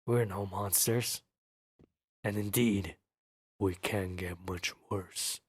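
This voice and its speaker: A man's voice: lowish voice, fairly even intonation, slow pace, husky. A man voicing a grim, secretive town alderman from a fantasy game, with a foreboding delivery. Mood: grave, menacing. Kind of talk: amateur voice-over of game dialogue.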